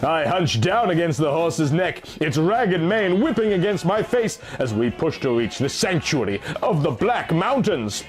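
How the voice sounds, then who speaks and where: A man's voice: a little high, sing-song, a little slow, hardly any pauses. A man reading a fantasy story aloud as dramatic, theatrical scripted narration. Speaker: audiobook reader.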